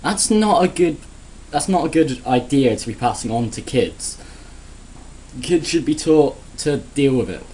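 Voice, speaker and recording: A man's voice: mid-range voice, lively intonation, unhurried, dark tone. A man giving a sarcastic, crude, rambling comedic rant in a casual vlog. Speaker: vlogger.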